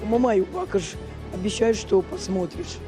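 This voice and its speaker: A female street interviewer with a mid-range voice puts casual questions and keeps gently pressing. Music plays clearly behind her. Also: mid-range voice, measured pace. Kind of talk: informal vox-pop questioning.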